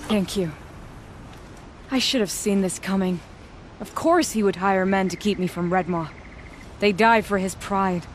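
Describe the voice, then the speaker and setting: A woman's voice: mid-range voice, unhurried, pauses often, natural voice. Noble huntress woman acting: mid-range, proud, steely, formal, faint ambient noise. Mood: urgent, indignant.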